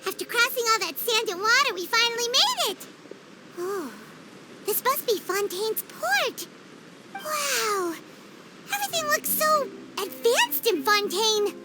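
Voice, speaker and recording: A woman voicing a tiny, excitable floating fairy companion in a video game, chirpy and talking about herself in the third person. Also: extremely high pitch, unhurried, heavy background noise, full character voice. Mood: excited. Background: game score music.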